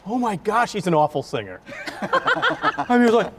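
A man's voice: sits high, wildly swooping pitch, measured pace, heavy background noise. A middle-aged American man interviewing guests on public television with a jovial, teasing manner. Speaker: TV host, interviewer. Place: large decorated indoor hall.

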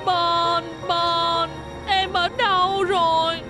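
An anxious young female cartoon truck, earnest and caring. The voice is very high and sounds worried. Music plays clearly behind it. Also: flat delivery, drawn-out words, heavily acted. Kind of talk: children's cartoon dubbing.

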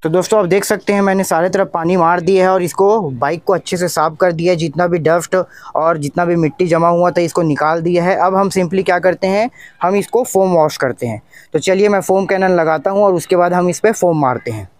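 A man's voice: high-pitched, fairly quick, no echo. A young man narrates a product demonstration vlog in a repetitive, instructional manner. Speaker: tech review channel host.

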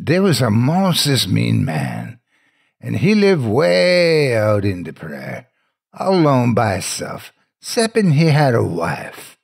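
A man in his sixties narrating a horror story in a theatrical, folksy manner with drawn-out suspenseful pauses. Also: on the low side, creaky, slightly rough. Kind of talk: dramatic scary-story narration.

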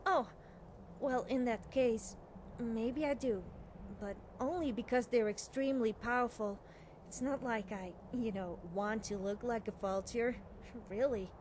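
A woman's voice: on the high side, measured pace, noisy background, natural voice. A young woman playing an eager, nervous, cheerfully amoral witch student in video game dialogue, chatty and girlish, often hesitating and backtracking. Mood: hopeful, sheepish.

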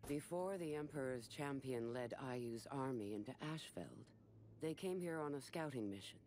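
Man acting a solemn game narrator, measured, grave, mid-pitched, faint music behind. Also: lively intonation, darkish tone, lightly acted. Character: solemn narrator recounting a war campaign. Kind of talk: scripted dramatic narration for a game.

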